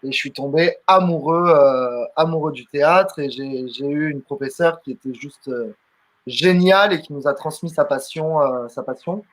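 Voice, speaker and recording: A young man in his twenties with a somewhat high voice tells his story in a relaxed interview. He is from southern France and talks loosely, full of fillers, restarts and repeated words. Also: normal speed. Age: 20s. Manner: chatty, many fillers and restarts. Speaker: guest comedian. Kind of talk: casual interview answers.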